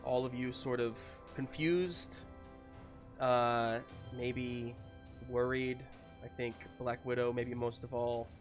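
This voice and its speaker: A man improvising narration as game master of a tabletop game, piecing scenes together haltingly. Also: mid-range voice, fairly even intonation, faint music behind. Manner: halting, searching for words in pieces.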